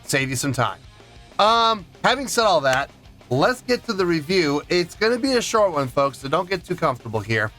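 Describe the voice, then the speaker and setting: Man hosting a music review, slightly high voice. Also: very animated, moderate pace, bright, forceful tone. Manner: repeats and restarts phrases for emphasis. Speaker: music reaction channel host. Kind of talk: casual song review to camera.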